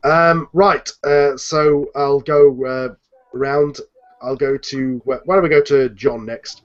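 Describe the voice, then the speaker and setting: A man hosts a casual group chat online, with a mid-pitched voice and halting delivery. The call audio is slightly dull and muffled. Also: fairly even intonation. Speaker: host of a fan audio drama cast chat. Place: indoors on an online video call. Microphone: video call.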